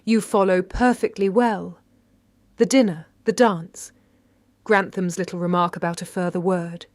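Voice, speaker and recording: An actress with a British accent playing a shrewd 1930s London lady detective, narrating in a measured, wry and precise way. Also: fairly low pitch, steady tone, no room sound, studio quality, close to an everyday voice. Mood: wry, probing. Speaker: narrator and lead character. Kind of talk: first-person detective audio drama narration.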